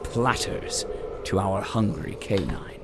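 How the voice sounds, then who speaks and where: A man's voice: deep voice, animated, slow, vocal fry, heavily acted. A man performing as a solemn, portentous narrator of an audio drama, telling the tale in a dramatic, ornate way. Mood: solemn, suspenseful. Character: solemn storyteller of a frozen dystopia. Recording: loud ambient noise behind.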